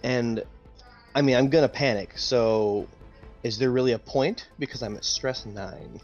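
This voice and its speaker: Dude playing a tabletop rpg, casual talk, kinda muffled lo-fi audio, music behind. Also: male voice, medium pitch, measured pace, darkish tone. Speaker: player in a tabletop roleplaying game. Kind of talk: casual game chat. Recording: dull lo-fi audio with background music.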